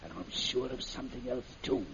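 A man's voice: mid-range voice, fairly quick, gravelly, lightly acted. An actor playing an imprisoned middle-aged father, anxious and emphatic, in the style of a 1940s radio serial. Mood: excited, insistent. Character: imprisoned British man, anxious father, eager conspirator. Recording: muffled, noisy old radio recording.

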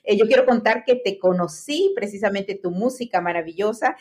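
A woman's voice: mid-range voice, brisk. Effusive woman podcast host. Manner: effusive, enthusiastic, warmly praising. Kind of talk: interview hosting.

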